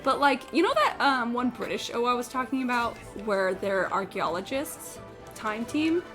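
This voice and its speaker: A woman's voice: on the high side, lively intonation, moderate pace, music in the background. A woman livestreaming a game, chatting casually and opinionatedly in a blunt, sweary, incredulous ramble. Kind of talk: casual opinionated chat while gaming.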